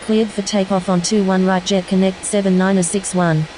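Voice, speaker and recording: A woman's voice: mid-range voice, level tone, normal speed, a little mellow, a little hiss or hum, close to an everyday voice, low-quality recording. A woman playing a businesslike airline pilot in a game, giving clipped, scripted radio calls. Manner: clipped, formulaic phraseology.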